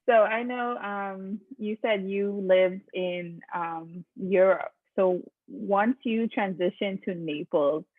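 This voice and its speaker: A woman's voice: mid-range voice, takes it slowly, hardly any pauses, no echo. A woman from the Bahamas asking a question hesitantly, with many fillers. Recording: dry tinny phone line.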